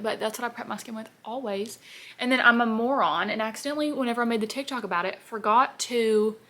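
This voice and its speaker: A woman in her twenties, a beauty vlogger, chatting casually and sassily while doing her makeup at home. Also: a little high. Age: 20s. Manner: chatty, sassy, animated asides. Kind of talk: casual get-ready-with-me chat. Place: home, at her parents' house.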